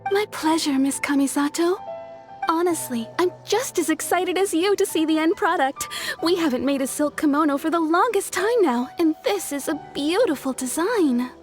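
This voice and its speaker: An actress voicing a friendly, eager tailor in a game. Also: female voice, very high voice, lively intonation, normal speed. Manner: friendly and enthusiastic. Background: game music.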